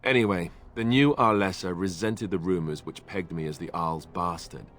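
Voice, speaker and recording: An actor playing an awkward, earnest young warrior in a fantasy video game, speaking in a hesitant, self-effacing, conversational way. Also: male voice, deep voice, normal speed, a bit bright. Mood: resigned, explanatory. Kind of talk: video game cutscene acting.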